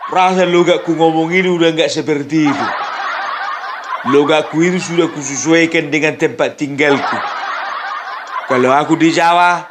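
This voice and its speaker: High-voiced man mocking, laughing often. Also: fairly even intonation, normal speed, long pauses. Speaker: political commentary host. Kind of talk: mocking casual political chat. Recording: background noise.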